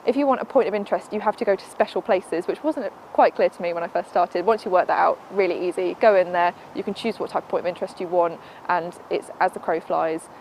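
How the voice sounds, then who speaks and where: A woman, a car reviewer giving a brisk, matter-of-fact verdict on an in-car system. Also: fairly low pitch, a little mellow, a little hiss or hum, low-quality recording. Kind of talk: product review.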